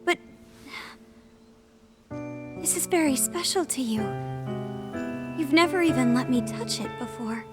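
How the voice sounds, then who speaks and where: Gentle high-voiced young princess. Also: female voice, animated, a little slow, pauses often, music in the background. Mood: tender. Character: gentle, devoted young princess, sweetly earnest. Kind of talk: video game cutscene acting.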